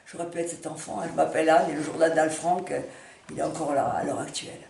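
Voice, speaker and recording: Woman, halting emphatic delivery, impassioned monologue, roomy distant recording, faint noise. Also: sits low, creaky, darkish tone. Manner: halting, emphatic, repeating words and phrases. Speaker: speaker giving a personal appeal. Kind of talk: impromptu impassioned monologue to camera.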